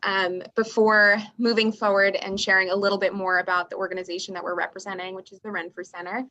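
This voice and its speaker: A woman's voice: mid-range voice, little pitch movement, quick pace, pushed and bright, dry recording, dull audio. A woman whose first language is Russian, introducing her organization on a webinar, friendly and full of "um" fillers.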